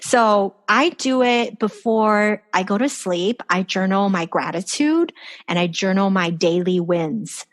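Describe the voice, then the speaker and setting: A woman's voice: mid-range voice, lively intonation, a little slow. A woman life coach speaking as a guest over a remote call, upbeat and encouraging, often ending her points with "right?". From far from the host's country. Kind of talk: casual interview chat.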